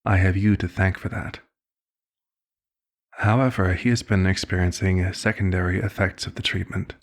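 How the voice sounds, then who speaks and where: A man playing a courteous elder vampire doctor in an ASMR roleplay, speaking formally and with measured, concerned authority, in a gravelly, husky voice. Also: low-pitched, little pitch movement. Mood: measured, clinical concern. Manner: formal, measured, polite wording. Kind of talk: ASMR roleplay, scripted one-sided dialogue.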